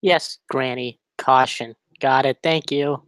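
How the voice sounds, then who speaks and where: A woman's voice: a bass voice, steady tone, slow pace, no echo. A woman playing a cocky, sarcastic young boy drone racer in a script table read.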